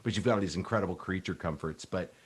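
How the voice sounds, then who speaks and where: A man with a fairly low voice hosts a casual interview podcast. His delivery is informal and slangy, like chatting with an old friend. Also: fast talker, breathy edge. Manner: informal, slangy, mild swearing. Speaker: podcast host.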